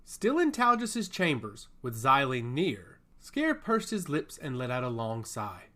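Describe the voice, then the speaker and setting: Man narrating with lively, varied pitch. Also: measured pace. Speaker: audiobook narrator. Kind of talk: scripted fantasy story narration.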